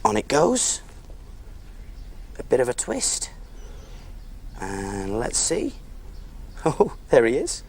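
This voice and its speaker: A man's voice: medium pitch, wildly swooping pitch, slow, pauses often, a little hiss or hum, exaggerated voice. A man playing a friendly big cook who presents simple step-by-step cooking on a preschool show, in an encouraging way. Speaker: children's cookery presenter.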